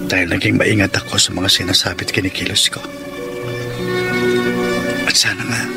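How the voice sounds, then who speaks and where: An actor playing a secretive, guilty lover with an earnest, dramatic delivery in a Filipino romantic radio drama. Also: male voice, on the low side, natural voice. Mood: secretive, brooding. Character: Denver, secretive, guilty, pleading unfaithful lover. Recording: studio drama under loud music. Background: loud background music.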